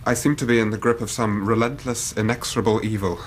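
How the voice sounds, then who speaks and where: Actor playing anxious young English gentleman, low-pitched. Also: fairly even intonation, fast, a little hiss or hum, natural voice. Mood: troubled, earnest. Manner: earnest, formal. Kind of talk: radio drama acting.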